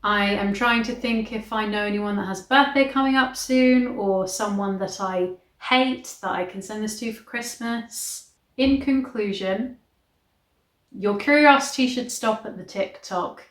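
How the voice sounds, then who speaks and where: A woman reading aloud and commenting as a casual vlog host, with a wry, sarcastic manner. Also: medium pitch. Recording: echoey room.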